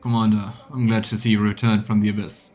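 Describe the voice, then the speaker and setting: A man's voice: on the low side, flat delivery, fairly quick, darkish tone, soft background music, close to an everyday voice. A man reading game dialogue aloud as an earnest, determined cleric who reports to a commander with emphatic phrasing. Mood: earnest, resolute.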